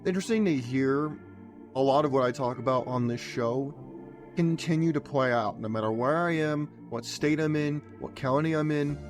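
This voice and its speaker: A man hosting a podcast, his voice partly gone and hoarse, talking in a provocative, sarcastic, lecturing way. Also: mid-range voice, normal speed, soft background music. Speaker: podcast host. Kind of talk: opinionated podcast monologue.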